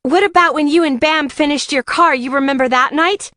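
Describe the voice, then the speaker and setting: A woman's voice: high-pitched, fairly quick, fairly bright tone, no echo, studio quality, pitched above the performer's own voice. A woman voicing a fierce, loyal young biker woman in an audiobook, bossy, confrontational and exasperated. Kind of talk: audiobook character dialogue.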